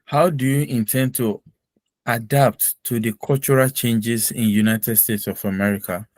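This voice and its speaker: A man's voice: medium pitch, steady tone, a little slow, darkish tone, dry recording, studio quality. A man hosting a mock visa interview on a video call, asking brief, formal questions in a firm official tone.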